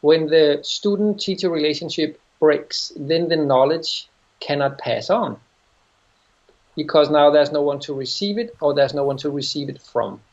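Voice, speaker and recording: A middle-aged man with a Danish accent, answering interview questions as a yoga teacher, thoughtful and halting, often restarting phrases. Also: fairly high pitch, darkish tone. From Denmark. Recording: dull lo-fi sound.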